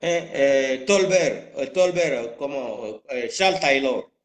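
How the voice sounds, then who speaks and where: A man's voice: mid-range voice, expressive, unhurried. Male political commentator, Guinean French accent, mid-range voice, combative and spontaneous, repeats himself for emphasis. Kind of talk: live political talk, spontaneous.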